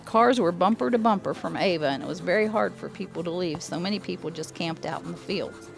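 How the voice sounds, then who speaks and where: Woman playing rural eyewitness narrator, low voice, gravelly creak, reflective storytelling, faint music behind. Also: brisk. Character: woman recalling rural crash aftermath as eyewitness. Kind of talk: scripted first-person narration.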